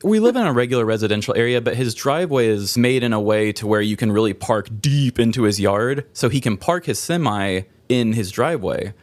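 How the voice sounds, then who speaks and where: A man's voice: mid-range voice, expressive, a little fast, hardly any pauses, no room sound. A man co-hosting a podcast, telling everyday stories in an informal, mildly exasperated way. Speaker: podcast co-host. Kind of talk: casual anecdotal chat.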